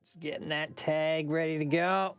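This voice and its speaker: A man's voice: a little high, steady tone, moderate pace, smooth, dry recording. A young man, a radio traffic reporter chatting casually and rambling as he reads viewer questions between reports. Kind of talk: casual live-stream chat with on-air traffic reports.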